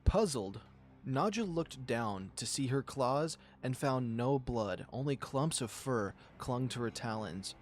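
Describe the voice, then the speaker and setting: A man with a middle-pitched voice hosts a visual novel reading. He narrates like a storyteller and also comments casually in his own words. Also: expressive, takes it slowly, faint music behind. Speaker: visual novel reader and channel host.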